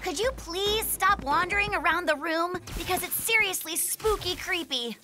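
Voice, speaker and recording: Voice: extremely high pitch, normal speed, over music. An actor voicing a friendly, easily spooked young brown bear in a children's cartoon, with a wry, exclamatory delivery. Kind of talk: animated children's cartoon acting.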